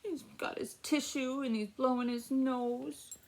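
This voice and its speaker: A woman's voice: high voice, fairly even intonation, unhurried. A woman presenting story time for young children, reading aloud in an exaggerated sing-song way and asking the children questions.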